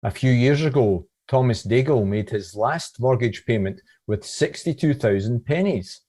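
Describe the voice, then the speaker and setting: Male reader, mellow dark tone, mid-range pitch, scripted devotional reflection. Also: expressive, moderate pace.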